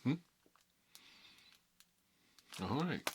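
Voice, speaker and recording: A man playing a cagey, cocky mob boss in an ASMR role-play, talking unhurried in needling tough-guy street talk. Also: a little mellow, slight background noise, lightly acted. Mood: guarded, defiant.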